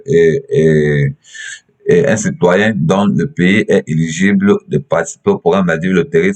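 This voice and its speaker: Very deep-voiced Cameroonian man, halting. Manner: halting, repeats himself. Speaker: tutorial presenter. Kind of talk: step-by-step screen tutorial.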